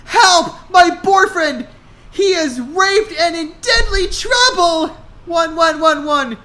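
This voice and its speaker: Man putting on a shrill, melodramatic teenage girl. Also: lively intonation, takes it slowly, sharp tone, heavily acted. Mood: wailing, panicked. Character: overwrought teenage girl heroine, melodramatic and panicky.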